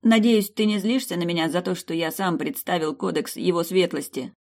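A narrator voicing a bookish young man in a storybook, earnest, excitable and bashful. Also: mid-range voice, brisk, gravelly, natural voice. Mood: nervous, earnest.

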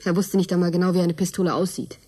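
A guarded girlfriend in a radio play, lowish husky voice, serious. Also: flat delivery, fast talker, warm tone. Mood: sober, worried. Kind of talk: German radio drama acting.

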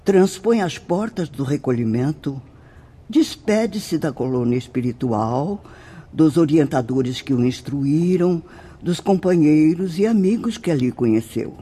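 A woman's voice: low voice, expressive, a little slow, mellow, slightly rough, full character voice. A Brazilian woman playing a venerable nun who guides spirits in a radio drama, speaking in a solemn, declamatory, tenderly instructive way. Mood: gentle instruction. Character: venerable, devout nun guiding spirits in the afterlife.

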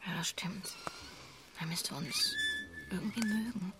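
An actress playing an earnest, agitated, idealistic wife in a naturalistic radio drama, stammering and urgent, often dropping into hushed tones. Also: female voice, medium pitch, wildly swooping pitch, unhurried, heavy background noise.

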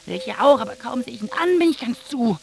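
A woman's voice: on the high side, animated, fast talker, creaky, a little mellow. An actress playing a moody, confused young woman doubting her love in a radio drama monologue. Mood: confused, sullen.

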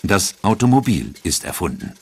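A man's voice: deep voice, very animated, moderate pace, slightly rough. A man narrating a scripted documentary.

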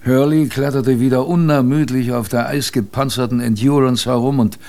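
An actor playing a young ship's crewman who recounts a polar expedition in measured, literary radio drama narration. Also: medium pitch, fairly even intonation, a little mellow, lightly acted. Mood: grave, dramatic. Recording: clear background noise, ambience.

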